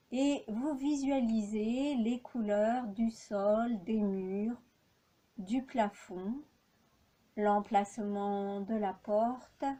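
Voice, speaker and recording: A woman leading a guided yoga relaxation as an instructor, speaking calmly and in measured phrases with long pauses. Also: mid-range voice.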